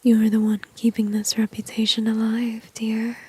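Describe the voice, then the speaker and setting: Woman acting breathy whispering flirty villainess. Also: on the high side, completely flat delivery, normal speed, no room sound. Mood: coaxing, confident. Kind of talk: ASMR roleplay, intimate whispering. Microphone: close microphone, dry.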